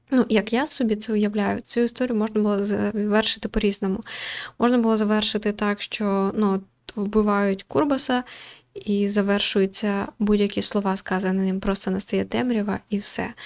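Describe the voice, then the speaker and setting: A woman with a mid-pitched voice comments on a visual novel as its host. She talks in a casual, reflective way, hesitating and feeling her way toward the right wording. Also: a little mellow. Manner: hesitant, searching for words, thoughtful.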